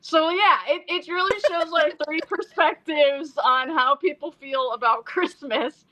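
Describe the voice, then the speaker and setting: Bright-voiced woman, chatty and animated. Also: high voice, a little slow. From born in Iceland, Icelandic mother and American father. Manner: animated, rambling storyteller who acts out quotes. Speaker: interview guest, independent filmmaker and actress. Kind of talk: casual chat about own film projects.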